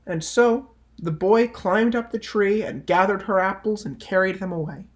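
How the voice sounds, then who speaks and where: A man narrating a children's picture book aloud as a storyteller, measured and unhurried with soft line endings. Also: sits high. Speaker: storyteller reading aloud for a library.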